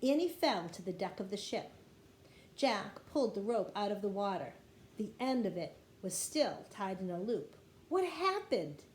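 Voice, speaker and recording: A woman's voice: medium pitch, moderate pace. Woman reading a children's story, roomy echo. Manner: animated storytelling with acted dialogue. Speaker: teacher reading aloud to kindergarteners. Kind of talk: expressive children's chapter-book read-aloud. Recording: roomy echo with light background noise.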